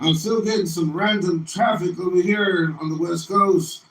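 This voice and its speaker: A man operating an amateur radio, switching between drawn-out calls and friendly casual on-air chat. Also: high-pitched, fairly bright tone. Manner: friendly, drawn-out repeated call phrases. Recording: noisy, echoey, distant radio room with static. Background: radio noise and clicks.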